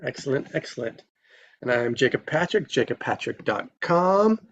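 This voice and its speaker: A male host speaks at an average pitch in a casual style. He is affirming and encouraging, and the call audio is somewhat dull and lo-fi. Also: very animated, moderate pace. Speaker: host of an online table read. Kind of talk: casual hosting.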